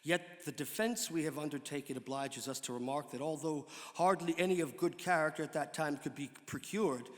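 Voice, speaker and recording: A man with a slightly high voice reads archaic formal prose as a dramatic performance. His delivery is measured and declamatory. The sound carries the long reverb of a large hall. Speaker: actor giving a dramatic reading. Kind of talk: dramatic reading of an 18th-century pamphlet.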